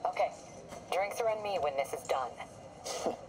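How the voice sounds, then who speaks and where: A stern vigilante police captain. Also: female voice, lowish voice, lively intonation, a little slow, noisy background. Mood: calm. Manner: cool, controlled, determined. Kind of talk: video game cutscene acting. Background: game score music.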